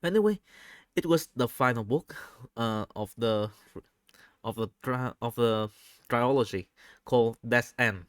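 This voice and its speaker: A man talks in a casual, unscripted audio diary, haltingly and often repeating his phrases. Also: medium pitch, no room sound, studio quality. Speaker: vlogger recording an audio diary. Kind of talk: casual unscripted personal monologue.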